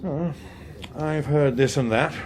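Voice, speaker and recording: An actor playing a suave, unflappable veteran chief whip in a radio drama, with an English accent and a smooth, wry, understated manner. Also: male voice, a little high, slow pace, a crowd in the background. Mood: smooth, guarded. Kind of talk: naturalistic radio drama acting.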